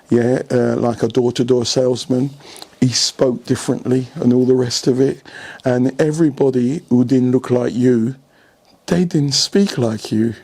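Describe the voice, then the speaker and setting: An older man, telling stories in an interview as a senior coach, often posing rhetorical questions and checking that the listener follows. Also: medium pitch. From England. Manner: animated anecdotes with rhetorical questions and repeated checks for agreement. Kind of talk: storytelling in an interview.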